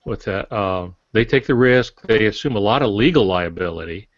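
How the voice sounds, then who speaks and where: Male author for podcast discussion: lowish pitch, plainspoken and colloquial, slightly muffled audio. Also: animated, moderate pace. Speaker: author, podcast guest or panelist. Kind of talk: casual explanatory podcast talk. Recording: dull, roomy podcast audio.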